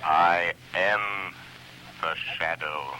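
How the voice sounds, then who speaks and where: An actor playing a mysterious, invisible male avenger who speaks in a slow, ominous, deliberate way in a 1930s radio drama. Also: low voice, fairly even intonation, background noise.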